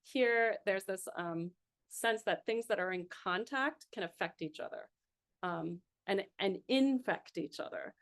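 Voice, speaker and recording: A woman's voice: medium pitch, unhurried. A woman instructor reading a poem aloud and leading a seminar discussion, thinking aloud with fillers.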